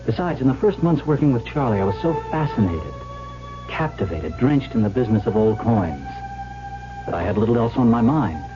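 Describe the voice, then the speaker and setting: An actor playing a rueful divorced man who tells his story in a measured, reflective 1970s radio drama style. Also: mid-range voice, big pitch swings, close to an everyday voice. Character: rueful divorced man looking back, coin dealer. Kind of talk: 1970s radio drama, first-person narration. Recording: dull old radio broadcast with music.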